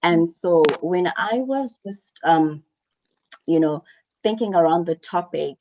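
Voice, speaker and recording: A woman from South Africa joins an online panel, talking in a low, mellow voice. She is earnest and thoughtful, stumbling over repeated words as she works out her ideas. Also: lively intonation, slow pace, low-quality recording. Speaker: panel guest. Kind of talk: casual online panel chat.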